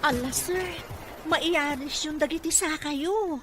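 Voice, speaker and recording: Devoted female therapist played by a woman, higher-pitched voice. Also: slow pace, loud music behind the voice. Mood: encouraging. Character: devoted, respectful female therapist to a rich boss. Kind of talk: Ilocano radio drama acting.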